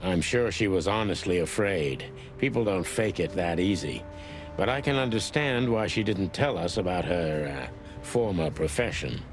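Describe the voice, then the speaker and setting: A low-voiced, hardboiled old rooster cop for a noir video game. He is weary, dry and brooding. Loud music plays behind him. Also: animated, moderate pace. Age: older. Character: world-weary old male rooster police detective, cynical noir. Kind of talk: film noir game voice acting.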